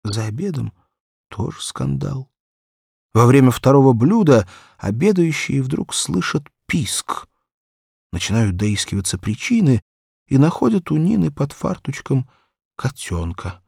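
A male audiobook narrator tells a children's story with theatrical expression. His pitch moves widely, his voice has a gravelly, husky grain, and the sound is close and dry. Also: very animated, measured pace, darkish tone, no room sound.

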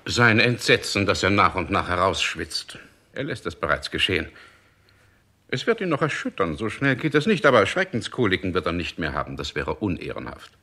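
A coldly clinical court doctor in a 1960s radio play. His low voice has a hard, bright edge and swings widely. He declaims with officious precision. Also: sing-song, normal speed, heavily acted. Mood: clinical, declamatory.

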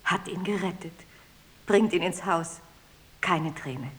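A woman playing a quietly chiding adult in a stylised radio play, speaking in short clipped phrases. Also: on the low side, takes it slowly, breathy edge. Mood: matter-of-fact.

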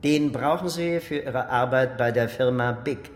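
Dry police investigator played by an actor, echoey. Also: male voice, unhurried, lightly acted. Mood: probing. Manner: dry, pointed questioning. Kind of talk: radio crime drama acting. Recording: echoey hall, faint rumbling noise.

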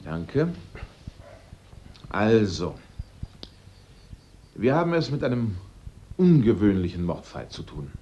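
A man playing a self-assured amateur sleuth in a German radio drama, speaking politely and deliberately. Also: fairly low pitch, big pitch swings, dark tone, full character voice. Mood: deliberate, commanding. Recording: dull radio recording, faint noise.

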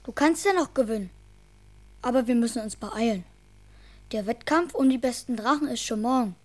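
A woman's voice: fairly high pitch, moderate pace, a little mellow, natural voice. A woman playing an eager, earnest and slightly bossy young schoolboy in a children's radio drama, speaking in a direct, childlike way. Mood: hopeful.

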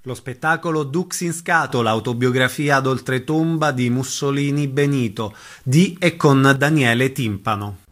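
Male radio host, mid-range pitch, scripted announcement style. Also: moderate pace, a bit bright.

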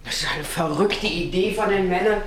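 A woman's voice: lowish voice, moderate pace, natural voice. An actress playing an anxious, reproachful middle-aged mother in a German radio play. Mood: uneasy. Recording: roomy echo, faint noise.

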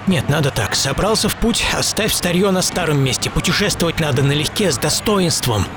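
A man's voice: a little high, animated, a little fast, background noise. A man performing a wry, philosophizing industrialist in dramatic first-person radio narration, musing and ironic. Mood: indignant. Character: wry, philosophizing male industrialist recalling his travelling youth. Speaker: author and narrator of a radio programme. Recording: loud music under the voice. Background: music.

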